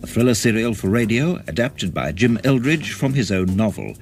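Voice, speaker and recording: A man's voice: fairly low pitch, lively intonation, moderate pace, a little mellow, faint music behind. A man reading scripted closing credits as a radio announcer.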